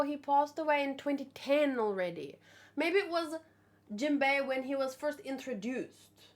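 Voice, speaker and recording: Female streamer, high-pitched, animated, rambling, informal live chat. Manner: animated, exclamatory, rambling asides. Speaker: streamer hosting a manga read-along. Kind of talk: casual live chat, thinking aloud.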